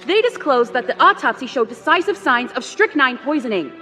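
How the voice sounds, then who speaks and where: A persistent young reporter in a radio drama, pushing her questions at a press conference. Her voice is high and animated, and it rings with a long hall reverb. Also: brisk, soft background music.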